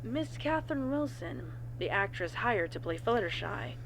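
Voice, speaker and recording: Female narrator, measured formal report reading, medium pitch, slight background noise. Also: very animated. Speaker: narrator of a horror fan fiction reading.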